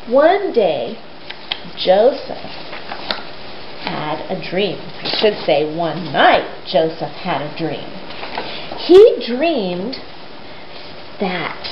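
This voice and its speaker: A woman retelling a Bible story to young children, speaking simply and emphatically and putting questions to them. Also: sits high. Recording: roomy, dull lo-fi sound with hiss.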